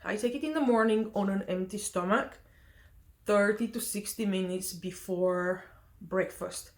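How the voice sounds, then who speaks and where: A woman's voice: mid-range voice, a little slow. A young woman from Romania, chatting casually in a vlog, hesitant with many fillers and self-corrections. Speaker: vlogger.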